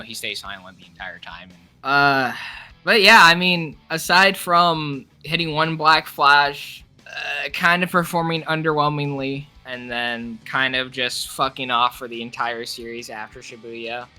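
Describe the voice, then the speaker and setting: A man's voice: medium pitch, moderate pace, sharp tone, soft background music. A young man co-hosting a casual ranking chat, giving opinions in hesitant, rambling fragments. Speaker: co-host of a character ranking discussion.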